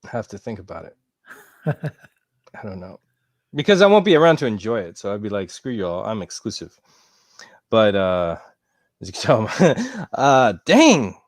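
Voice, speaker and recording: Excitable man host, lively pitch. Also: moderate pace, pauses often, no room sound, studio quality. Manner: excitable, exclaims often. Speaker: podcast host. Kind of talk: casual chat.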